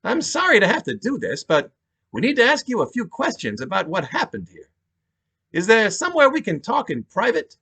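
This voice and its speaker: A man doing a New York detective, pitched up. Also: wildly swooping pitch, moderate pace, vocal fry, sharp tone, breathy edge, heavily acted. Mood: brisk, polite. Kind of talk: audiobook-style dramatic reading.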